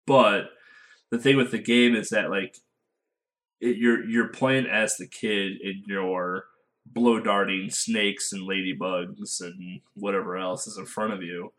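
American male co-host, fairly low voice, loose and self-deprecating. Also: steady tone, slow, a bit bright. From United States. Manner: rambling, filler-heavy, self-deprecating. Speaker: podcast co-host. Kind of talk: casual podcast chat.

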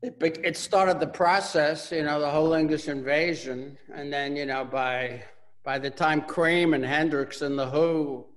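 An older man with a Bronx accent, a guitarist talking about his music in a casual interview, relaxed and rambling with many fillers. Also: on the high side, measured pace. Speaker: guitarist guest.

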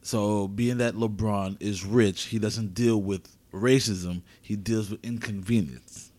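A man's voice: fairly low pitch, steady tone, slow, darkish tone. A man co-hosting a sports podcast, chatting casually with plenty of slang. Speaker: podcast co-host.

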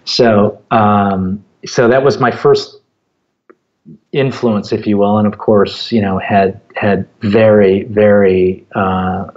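A middle-aged American man, a native Japanese speaker, talking as an interview guest in a conversational storytelling way, with deliberate, hesitant phrasing. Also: on the low side, fairly even intonation, darkish tone, muffled recording. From born in Japan, grew up in the United States from age four. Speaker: interview guest, app company founder.

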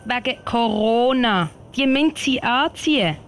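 Woman playing a slow-speaking, high-voiced Swiss girl scout. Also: lively intonation, slight background noise. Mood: wary, exasperated. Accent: Swiss German. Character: polite, sensible, weary girl scout on a long journey. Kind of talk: amateur radio play acting.

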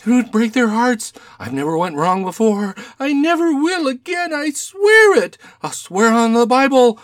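A woman's voice: medium pitch, huge pitch swings, unhurried, darkish tone. A woman playing a small, timid, guilty man in a Victorian detective drama, agitated and servile in manner. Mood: desperate pleading.

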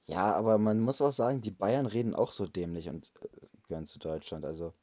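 A man's voice: deep voice, fairly quick, mellow. A young man co-hosting a casual chat podcast, rambling with hesitant restarts. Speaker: podcast co-host.

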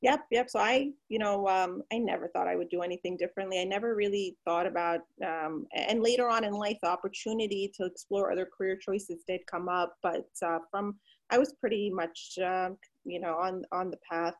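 Hesitant woman doctor, mid pitch. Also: steady tone, a little fast, rough recording. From Pakistan, raised in the United States. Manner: hesitant, many fillers and restarts, warms up when enthused. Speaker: physician guest. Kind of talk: interview answers.

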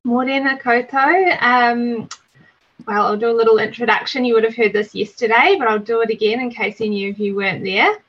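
Wetland ecologist on a dull-sounding call. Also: female voice, fairly high pitch, fairly quick. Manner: friendly, relaxed, some fillers. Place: home. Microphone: video call.

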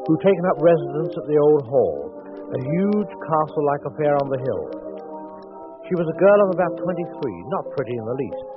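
An actor playing a wry, theatrical mystery storyteller, narrating deliberately in 1940s radio style. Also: male voice, a little high. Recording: muffled vintage 1940s radio broadcast with music.

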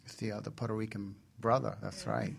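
A man's voice: on the low side, level tone, fairly quick, vocal fry, breathy edge. A man co-hosting a radio talk show in a casual, conversational style.

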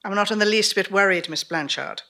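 A woman playing the ghost of a haughty, brisk Irish revolutionary countess, with old-fashioned upper-class phrasing in a theatrical stage performance. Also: medium pitch, bright, forceful tone. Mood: fearless, commanding. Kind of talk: theatrical dramatic monologue performance.

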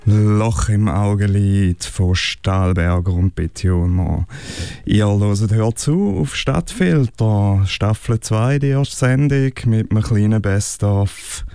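A man's voice: lowish voice, slow, dark tone, smooth, no echo. A man co-hosting a radio music show, chatting casually and loosely between records.

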